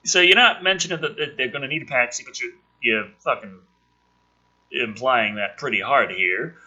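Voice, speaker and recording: A man with a rough voice reading and criticizing a film script, exasperated, sweary and incredulous. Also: medium pitch, moderate pace, bright, forceful tone, dull audio. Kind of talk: script reading with ranting commentary.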